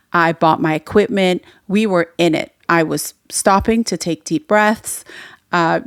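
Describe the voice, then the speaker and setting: Woman podcast host, Farsi native speaker, low gravelly voice, dry close sound, reflective storytelling. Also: no echo. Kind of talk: solo podcast monologue.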